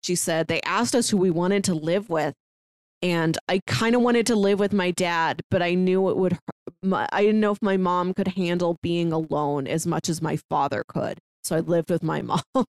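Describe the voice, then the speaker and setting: A woman's voice: lowish voice, steady tone, moderate pace, darkish tone, dry recording. Woman chatting casually in a dry room. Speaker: podcast co-host. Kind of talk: casual film discussion.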